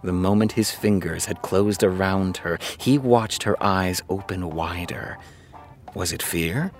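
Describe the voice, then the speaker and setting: Low voiced guy acting as an exasperated sarcastic tv writer reading stories out loud, podcast drama, faint music. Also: measured pace. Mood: reading aloud. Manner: sardonic, muttering asides between read passages. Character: exasperated, sarcastic TV creator reading fan fiction aloud.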